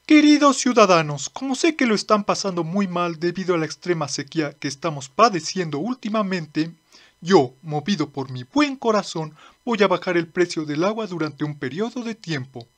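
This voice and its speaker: Man playing a high-pitched, pompous greedy king. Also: expressive, moderate pace, a bit bright. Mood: grandly self-satisfied. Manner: pompous, declamatory. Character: pompous, falsely kind, greedy male king.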